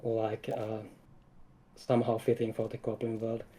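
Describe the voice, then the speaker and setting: A man's voice: on the low side, monotone, moderate pace, dark tone, rough recording. A man speaking casually as a guest game developer, explaining things in an informal chat. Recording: some background noise.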